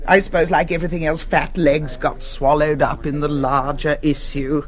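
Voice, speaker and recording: An actress playing a jolly, gushing middle-aged English school matron in a stage comedy, chatty and full of old-fashioned slang. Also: female voice, sits low, steady tone, normal speed, natural voice. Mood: nostalgic, gushing. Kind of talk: British stage comedy acting.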